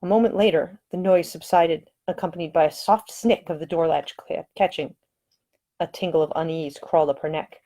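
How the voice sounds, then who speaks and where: A woman reading her own romance novel as scripted audiobook narration, with expressive, dramatic storytelling. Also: low-pitched, normal speed.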